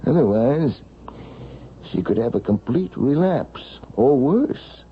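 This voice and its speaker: An actor playing a worried, earnest doctor in a 1970s radio drama, halting and emphatic. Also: male voice, mid-range voice, sing-song. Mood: concerned, pondering. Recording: muffled, hissy vintage radio.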